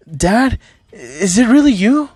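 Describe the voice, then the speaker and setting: A man's voice: high voice, big pitch swings, takes it slowly, soft background music, voice pushed up, heavily acted. A man voicing a naive, emotional young son with an earnest, pleading manner. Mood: tearful joy.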